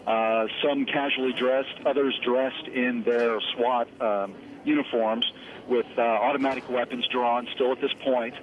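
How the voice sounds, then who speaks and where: A man's voice: medium pitch, steady tone, a little slow, slight background noise, low-quality recording. An American man giving a live eyewitness report as a field reporter over the phone.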